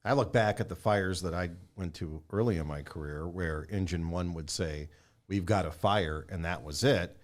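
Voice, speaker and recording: Low-pitched male podcast host. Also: moderate pace. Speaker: podcast host and former firefighter. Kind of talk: conversational podcast hosting.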